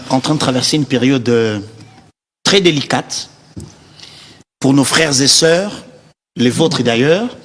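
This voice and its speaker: A man's voice: mid-range voice, a bit bright. Hesitant man, reverberant studio. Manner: hesitant, many "euh" fillers. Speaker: talk show co-host. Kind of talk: studio talk show interviewing.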